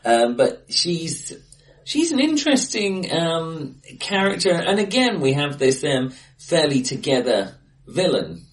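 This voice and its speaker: A man co-hosting a casual film discussion podcast, thinking aloud with many restarts and fillers, recorded face to face in a bright, live room. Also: on the high side, big pitch swings, a little slow, recorded from a distance, poor audio. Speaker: podcast co-host.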